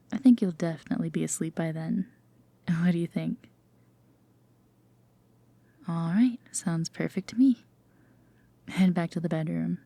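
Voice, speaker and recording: A woman playing a gentle, teasing, affectionate girlfriend in an intimate sleep-aid roleplay, with a soft, breathy half-whisper and a tender manner. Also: on the low side, no echo. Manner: hesitant, tender, gently playful.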